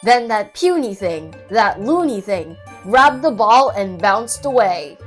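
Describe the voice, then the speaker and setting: A woman reading a children's story aloud as an expressive narrator, with dramatic, rhythmic and playful delivery. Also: mid-range voice, slow. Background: children's music.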